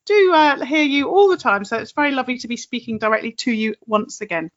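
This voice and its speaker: A woman's voice: sits high, expressive, quick pace, highs cut off. A woman hosting a podcast interview in a chatty, affirming, conversational way.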